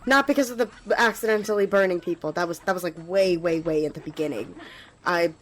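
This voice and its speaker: A woman's voice: fairly low pitch, brisk, slight background noise, close to an everyday voice, low-quality recording. A woman playing an eager, apologetic young squire in an ASMR fantasy roleplay, rambling and stammering as she speaks to the listener. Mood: sheepish, flustered. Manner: rambling, hesitant, stammering, giggly. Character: eager, flustered young female magic-wielding squire, apologetic.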